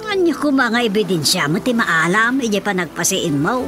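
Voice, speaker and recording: A woman's voice: mid-range voice, sing-song, normal speed, close to an everyday voice. A Filipino woman playing a wife who questions and banters with her husband in a radio comedy drama, in a conversational manner full of exasperated interjections. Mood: questioning. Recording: music bed under the dialogue.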